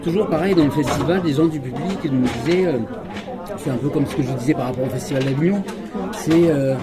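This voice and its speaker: French actor chatting over a noisy crowd. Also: male voice, a little high, fast. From France. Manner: chatty, many fillers and restarts. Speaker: actor and stage reader. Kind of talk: casual interview chat. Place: large hall with a crowd. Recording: loud crowd chatter behind.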